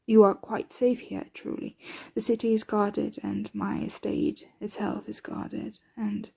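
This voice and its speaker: A woman playing a protective, doting yet anxious vampire mistress in an ASMR roleplay, breathy and often whispering, with formal, measured diction. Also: mid-range voice, dark tone, full character voice. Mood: worried, vulnerable.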